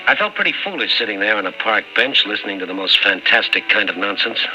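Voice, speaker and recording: A man's voice: on the low side, sing-song, fast. A man playing a wry, skeptical storyteller in an old-time radio drama, with dry, world-weary delivery. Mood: wry narrating. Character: wry, skeptical male night-beat storyteller. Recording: dull old radio recording with music.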